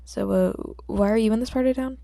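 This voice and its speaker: A woman's voice: brisk, vocal fry, warm tone, no echo. A woman voicing a nervous, sheepish teenage boy who rambles, stammers and apologises in a fan fiction audiobook. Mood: nervous, rambling. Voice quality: squeaky when flustered.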